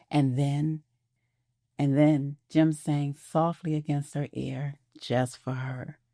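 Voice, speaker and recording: A woman narrating an audio drama in a soft, measured storytelling voice, recorded on a close studio mic. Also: deep voice, dry recording.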